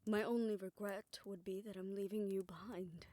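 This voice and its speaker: A woman playing a tender, self-assured older woman in a romantic audio roleplay, speaking in a reflective, gently formal way. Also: medium pitch, steady tone, moderate pace, dry recording, close to an everyday voice. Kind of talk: romantic audio roleplay, intimate scripted monologue.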